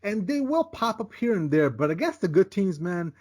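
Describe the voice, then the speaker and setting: A man's voice: high voice, big pitch swings, moderate pace, darkish tone. A man co-hosting a sports podcast, giving emphatic, casual takes on football games and repeating "listen, man" as he goes. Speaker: podcast co-host. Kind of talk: casual sports betting chat.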